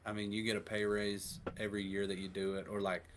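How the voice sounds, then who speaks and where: A man in his twenties, a teacher and coach, chatting casually on a podcast and working through numbers out loud. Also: on the low side, fast, slight background noise. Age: 20s. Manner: relaxed, rambling, works through numbers aloud.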